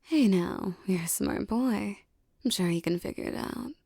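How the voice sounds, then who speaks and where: A woman performs a streetwise female mafia boss with a soft spot for her lover. Her voice is dark and mellow with a gravelly fry. She speaks in an intimate, teasing ASMR roleplay manner, recorded very dry. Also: mid-range voice, measured pace, no echo. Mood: fond, teasing.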